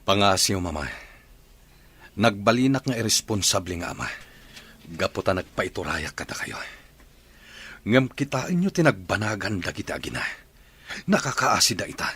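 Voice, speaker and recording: A man's voice: fairly low pitch, big pitch swings, normal speed, long pauses, a bit bright, husky, exaggerated voice. A man playing a remorseful husband in a radio drama, speaking in an earnest, pleading way. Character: remorseful husband begging forgiveness.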